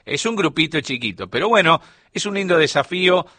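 A man's voice: a little high, expressive, fairly quick, pushed and bright, breathy edge, no room sound. A middle-aged man with a Rioplatense Spanish accent, explaining a topic as a radio columnist in a studio chat. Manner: explanatory, storytelling delivery.

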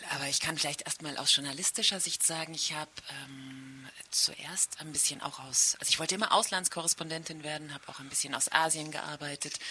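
A woman's voice: low voice, bright, forceful tone. A middle-aged woman from Germany answering interview questions spontaneously as a foreign correspondent in a radio studio. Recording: faint hiss in studio.

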